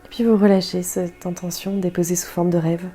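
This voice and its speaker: A woman guiding a yoga nidra meditation in a soft, calm, soothing way, leaving gentle pauses. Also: fairly low pitch, soft background music. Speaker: guided meditation instructor.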